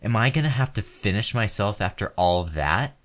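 A man's voice: deep voice, slow. An actor in a one-sided audio roleplay, playing a crude, hot-tempered man who curses constantly and turns frightened and pleading. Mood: furious. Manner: heavy cursing, snarling.

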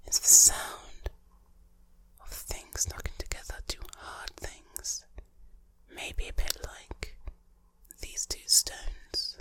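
A woman delivers ASMR in a breathy whisper with almost no voice in it. She speaks slowly and deliberately, leaving pauses between short phrases. The whisper is bright and crisp at the edges as she addresses the listener intimately. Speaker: ASMR host.

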